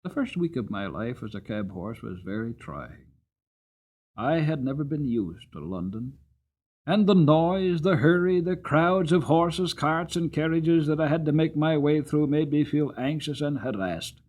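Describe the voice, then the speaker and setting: A man voicing a gentle, earnest cab horse who tells his own life story in an expressive storybook reading. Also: on the high side, measured pace. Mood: anxious, earnest. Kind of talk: dramatic audiobook storytelling.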